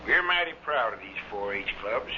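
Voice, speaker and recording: A man with a fairly low voice gives a formal presentation speech. The vintage radio recording is dull and clearly noisy. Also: lively intonation, moderate pace, pushed and bright. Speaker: speaker presenting an award.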